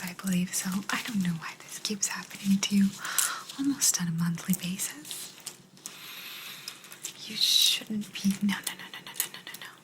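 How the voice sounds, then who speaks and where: A woman playing a gentle, patient alien abductor in a soft, breathy ASMR whisper, confiding closely in the listener. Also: mid-range voice, close to an everyday voice, rough recording. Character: gentle, apologetic female alien abductor explaining a simulation.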